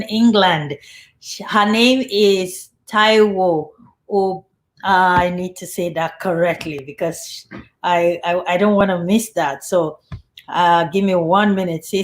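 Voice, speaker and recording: A woman hosting a live online talk show, chatty and upbeat, with fillers and small restarts. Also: on the low side. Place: home studio in Canada.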